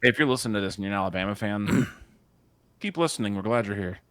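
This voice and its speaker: A man with a fairly low voice chats about movies on a podcast, his pitch swooping up and down as he jokes and cuts in. His voice sounds dry and close, with almost no room around it. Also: fast talker, no room sound. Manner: excitable and joking, jumps in mid-sentence. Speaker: podcast co-host. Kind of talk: casual movie podcast chat.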